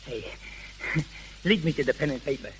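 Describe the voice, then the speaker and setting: An actor playing an incredulous, slangy small-time gangster in 1930s American radio drama. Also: male voice, a little high, normal speed, natural voice. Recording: crackly, sizzling old 1930s radio recording.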